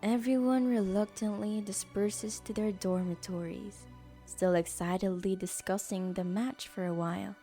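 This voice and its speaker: Mellow lady narrating a story, takes her time, bit of music behind. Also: mid-range voice, fairly even intonation, a little slow.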